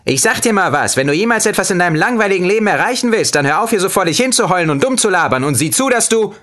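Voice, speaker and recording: Man voicing a female lemon, furious, insulting, shrill, high-pitched, manic, storybook character. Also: lively intonation, fast talker, a bit bright. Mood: scornful rant.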